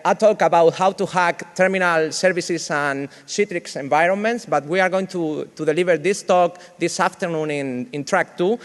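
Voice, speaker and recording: A man from Spain with a Spanish accent, whose first language is Spanish, giving a humorous conference talk in a halting, joking way with many restarts. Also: fairly high pitch. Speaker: conference speaker. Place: large conference hall. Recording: reverberant hall sound.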